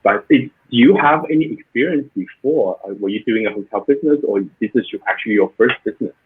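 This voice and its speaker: A man's voice: mid-range voice, animated, fast talker, slight background noise. A man hosting an online interview show over a video call, upbeat and welcoming, asking loose, rambling questions. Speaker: host. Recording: tinny phone-like line.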